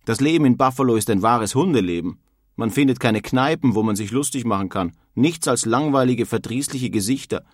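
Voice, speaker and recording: A man's voice: medium pitch, animated, fairly quick, no echo, lightly acted. Disgruntled emigrant craftsman played by a man, blustering and homesick, audio drama letter reading, mid-range voice, very dry recording. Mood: grumbling, homesick.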